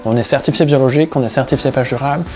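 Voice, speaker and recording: A man's voice: mid-range voice, rapid-fire, darkish tone. Man talking over music. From Paris region, France, with Breton roots. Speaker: seaweed harvesting diver and business owner, interviewee. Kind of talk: relaxed first-person interview account.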